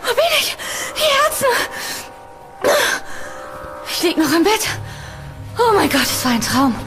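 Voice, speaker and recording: A woman's voice: very high-pitched, wildly swooping pitch, a little slow, music in the background, full character voice. An actress playing a curious, polite, uneasy young woman tenant in a German horror audio drama, hesitant and slightly sardonic. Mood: terrified.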